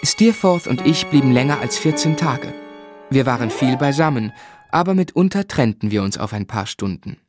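A man performs an earnest young gentleman telling his own life story in a literary radio drama. His medium-pitched voice moves between measured narration and affectionate, sincere dialogue with a friend. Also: lively intonation, over music, natural voice. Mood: reflective. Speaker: narrator and lead actor.

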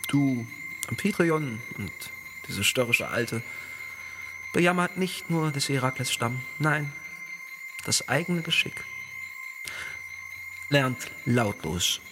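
An actor playing a gloating, cruel tyrant who turns whiny and mock-innocent in a theatrical verse radio play. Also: male voice, mid-range voice, noisy background. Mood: menacing. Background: music.

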